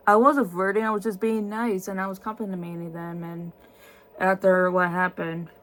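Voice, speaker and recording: A woman's voice: medium pitch, fairly bright tone, rough recording. A woman in her twenties, tired, answering viewer questions in a casual vlog with many ums and restarts. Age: 20s.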